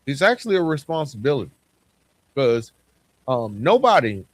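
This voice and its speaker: A man's voice: mid-range voice, sing-song, normal speed. A man hosting a solo podcast in a reflective, conversational and earnest motivational way, repeating phrases for emphasis, close to the microphone. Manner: conversational, frequent rhetorical questions and repetition for emphasis. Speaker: podcast host.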